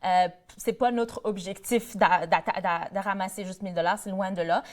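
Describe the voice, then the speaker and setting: A woman's voice: mid-range voice, fairly even intonation, normal speed. A young woman from Morocco, a board treasurer, answering questions in a TV interview, with many fillers and restarts. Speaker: guest, board treasurer of a community association. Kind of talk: TV interview, casual conversation.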